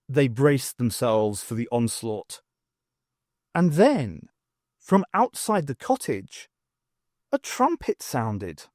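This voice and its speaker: A man's voice: medium pitch, very animated, takes it slowly, pauses often, a little mellow, dry recording, clean studio sound. A man narrating a fiction audiobook in a scripted storytelling style.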